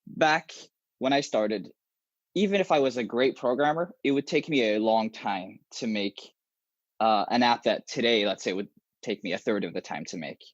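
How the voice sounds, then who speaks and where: A young man whose first language is Arabic, a software engineer talking animatedly and casually as an interview guest over a video call from a small room at home. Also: medium pitch, normal speed, no room sound. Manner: animated, gestures a lot, many restarts. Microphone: earbuds on a video call. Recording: dull, slightly lo-fi video call audio.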